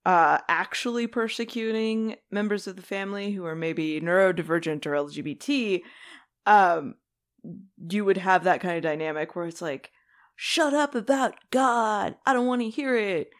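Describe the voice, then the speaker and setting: Female podcast co-host, medium pitch, informal and conversational, slips into imagined dialogue. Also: moderate pace. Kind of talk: casual discussion.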